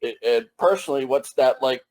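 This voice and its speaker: A man's voice: mid-range voice, very animated, fairly quick, creaky, darkish tone. A male journalist asking a long-winded, hesitant question on a press conference call. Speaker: wrestling journalist.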